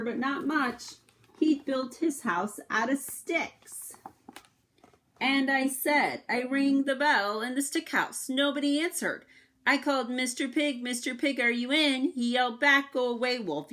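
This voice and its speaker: A woman's voice: sits high, a bit bright, pitched above the performer's own voice. A woman voicing an aggrieved wolf who excuses himself in a folksy, insistent way, reading a children's picture book aloud. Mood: matter-of-fact. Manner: folksy, insistent, drawn-out emphatic storytelling. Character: aggrieved, self-excusing wolf telling his side of events.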